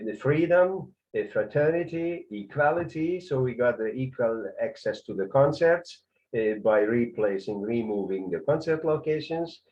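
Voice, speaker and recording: A man with a Hungarian accent, a conductor giving a hesitant lecture full of fillers, rising in pitch on key words. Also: highs cut off.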